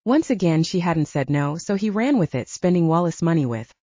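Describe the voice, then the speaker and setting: A woman's voice: lowish voice, lively intonation, brisk, mellow, no echo, dull audio. A woman reading scripted fiction as an audiobook narrator, close to the microphone.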